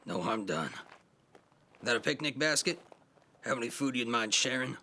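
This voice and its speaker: A man acting as a gruff, brazen, weary out-of-town traveler in an amateur audio drama. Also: mid-range voice, animated, normal speed, sharp tone. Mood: casual, friendly.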